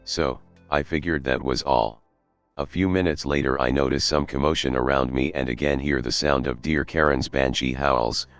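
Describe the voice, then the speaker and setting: Really low voiced guy reading out a reddit story, steady and even, soft music behind. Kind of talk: scripted story narration. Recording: faint background music.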